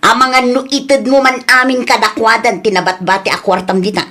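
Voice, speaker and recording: A woman plays a practical, money-minded Filipino wife in an audio drama. Her voice sits high, and she talks in a nagging, suspicious way to her husband. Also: little pitch movement, fairly quick, pushed and bright.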